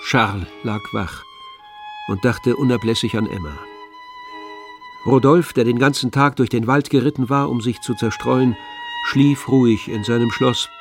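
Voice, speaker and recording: A man's voice: mid-range voice, unhurried. A man reading scripted literary narration for a radio play. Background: faint string music.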